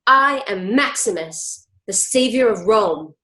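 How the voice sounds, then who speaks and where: A woman's voice: mid-range voice, expressive, slow pace, pushed and bright. A woman voicing a proud, playful young Roman boy who plays at gladiators, in an amateur radio drama.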